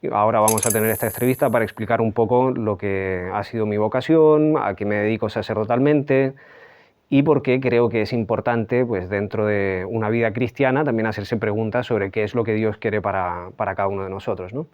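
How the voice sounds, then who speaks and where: A Chilean man in his thirties, a priest, recounting his vocation in a soft, halting way with many fillers. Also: fairly low pitch, steady tone, clear, resonant tone. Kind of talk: reflective interview testimony.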